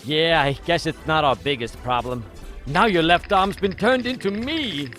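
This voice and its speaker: A man's voice: fairly high pitch, normal speed, sharp tone. A man voicing a loyal, earnest and slightly dim cartoon sidekick with a naive manner. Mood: earnest alarm. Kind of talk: cartoon comic dub acting. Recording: music behind the voice.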